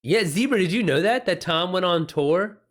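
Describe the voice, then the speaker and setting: A man's voice: sits high, expressive, moderate pace. A man hosting a livestream in a casual, informal style, reacting to chat comments with exclamations and swearing. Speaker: streamer, author hosting a live chat.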